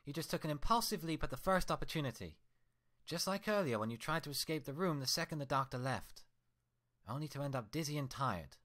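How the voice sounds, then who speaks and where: A man's voice: on the high side, animated, a little fast, fairly bright tone, pitched above the performer's own voice. A man voicing a furious young female unicorn scholar who scolds indignantly. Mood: angry scolding.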